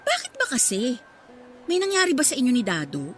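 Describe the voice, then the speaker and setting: Radio drama best friend: high voice, blunt, nagging, scornful, soft music underneath. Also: female voice, wildly swooping pitch, a little fast, full character voice. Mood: annoyed, challenging. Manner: scornful, confrontational. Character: blunt, brazen, nagging female confidante. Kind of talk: Filipino radio drama acting.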